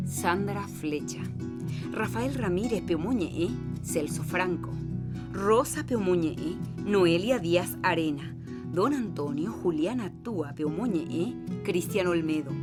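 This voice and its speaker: Female credits announcer, measured reading, guitar music behind. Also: fairly low pitch.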